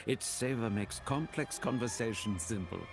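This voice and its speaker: A man's voice: mid-range voice, moderate pace, lightly acted. An actor playing a pompous male magistrate in a fantasy game, florid, theatrical and drolly condescending. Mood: sardonic, suave. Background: game music.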